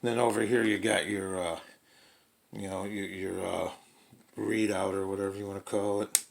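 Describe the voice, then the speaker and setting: A man's voice: low voice, level tone, normal speed, creaky. A man giving a casual, unscripted product demonstration in a hesitant, rambling, folksy way. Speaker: radio reviewer demonstrating a portable radio.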